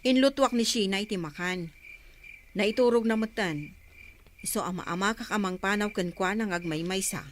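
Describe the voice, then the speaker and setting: A woman's voice: medium pitch, moderate pace, a little hiss or hum, lightly acted. A woman playing a mild, placating daughter in a radio drama.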